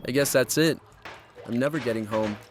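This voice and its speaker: A man's voice: mid-range voice, brisk, natural voice. Man acting worried teen boy, mid-range voice, horror audio drama, faint background noise. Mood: despairing. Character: anxious teenage boy lost in a nightmare world.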